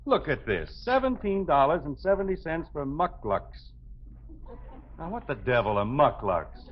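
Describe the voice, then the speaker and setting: Blustery, exasperated American dad, old radio. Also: male voice, on the high side, very animated, a little slow, a little hiss or hum. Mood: exasperated lecturing. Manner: blustery, sarcastic, lecturing. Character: exasperated, thrifty father of a 1950s family. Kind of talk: 1950s radio sitcom acting. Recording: muffled old radio recording.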